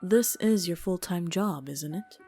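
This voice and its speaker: Female doctor, played by an actress, game drama. Composed, probing, measured, slightly low voice, faint score behind. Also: dark tone, soft background music, close to an everyday voice. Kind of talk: game dub voice acting.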